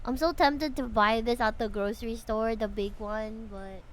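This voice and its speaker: A woman's voice: a little high, fairly even intonation, moderate pace, slight background noise. A woman chatting casually and playfully with viewers on a game livestream. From Philippines. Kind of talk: casual game-stream chat and reading dialogue aloud.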